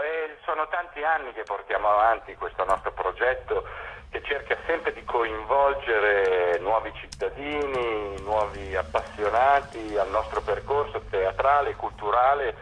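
A man interviewed as a theatre maker, explaining his work in measured short phrases and lists, speaking over a telephone. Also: fairly low pitch, fairly bright tone. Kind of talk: explanatory radio interview talk. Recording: dull, noisy telephone line to radio.

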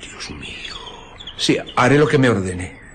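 Un actor interpretando a un vagabundo asustado, desconcertado y sumiso, titubeante y suplicante, en un radioteatro. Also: male voice, medium pitch, very animated, unhurried. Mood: frightened, pleading. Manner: hesitant, pleading. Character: frightened, bewildered, submissive male vagrant. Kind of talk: radio drama acting.

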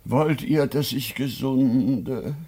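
Very slow, sly fake invalid. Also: male voice, mid-range voice, noisy background. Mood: coaxing. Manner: drawn-out, theatrical, mock-feeble. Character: sly rich schemer feigning sickness, wooing, plaintive. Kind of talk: classic German radio play acting.

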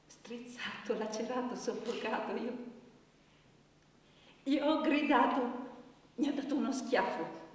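A woman's voice: a little high, lightly acted. Actress as a wounded young woman in echoing hall. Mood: anguished. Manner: halting, intense, dropping to hushed tones. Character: wounded young woman testifying about childhood abuse. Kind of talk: theatrical radio drama monologue. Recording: distant, echoey hall with faint noise.

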